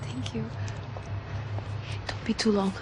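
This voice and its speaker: Grateful young wife, halting, earnest, high voice, noisy background. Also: female voice, fairly even intonation.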